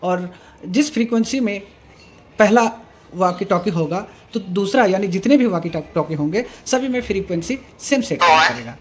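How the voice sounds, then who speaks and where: A man presenting a hands-on tech tutorial, explaining step by step in a halting, repetitive way. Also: high voice. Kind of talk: hands-on product demonstration. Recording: clearly noisy room recording with ambience.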